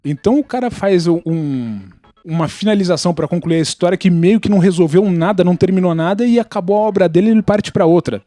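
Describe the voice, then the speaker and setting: A man's voice: high voice, fast talker, mellow, dry recording, faint music behind. A Brazilian man in casual podcast talk, explaining things in an opinionated way. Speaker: podcast participant.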